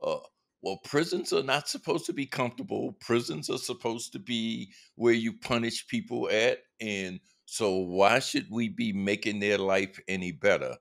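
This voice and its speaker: A man hosting a conversational interview, framing his questions haltingly with repeated words. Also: lowish voice, gravelly. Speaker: interviewer, host.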